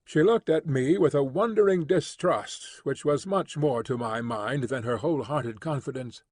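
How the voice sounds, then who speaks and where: A man narrating an audiobook with measured, expressive storytelling. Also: medium pitch, husky, dry recording, clean studio sound. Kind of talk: scripted narration.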